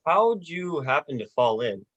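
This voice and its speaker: A young performer playing a despairing, sardonic family man in a radio play, with halting, dry delivery. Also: mid-range voice, big pitch swings, fairly bright tone. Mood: dazed. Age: teens or young adult. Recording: dull, lo-fi video call audio.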